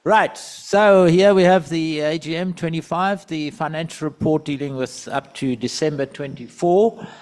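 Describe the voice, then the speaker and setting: A man giving a society's financial report at a meeting, with a loud voice and a halting delivery full of fillers. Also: on the high side. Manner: loose, halting, many fillers and restarts. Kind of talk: presenting at a meeting. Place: meeting hall.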